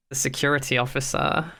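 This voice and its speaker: Co-host for casual chat show: crisp, bright tone, mid pitch, wry and teasing, strong opinions. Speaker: podcast co-host.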